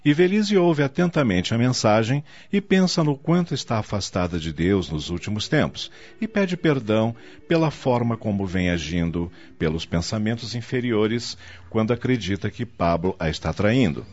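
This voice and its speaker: A man's voice: on the low side, lively intonation, moderate pace, few pauses, darkish tone. A man narrating a radio soap opera in a dramatic scripted style. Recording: dull lo-fi audio with music.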